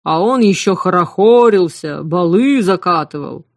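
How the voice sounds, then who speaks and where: A woman's voice: lowish voice, expressive, unhurried, no room sound, studio quality. A woman reading an audiobook voices a huge motherly female cook with a drawling, sing-song folksy manner. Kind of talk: audiobook character voice.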